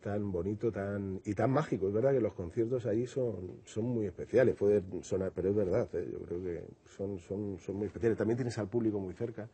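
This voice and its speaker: A singer-songwriter from Madrid with a Madrid Spanish accent, talking reflectively in an interview and often restarting and repeating words. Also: male voice, on the low side, brisk, hardly any pauses, mellow, slightly rough. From Madrid, Spain. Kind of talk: relaxed interview conversation.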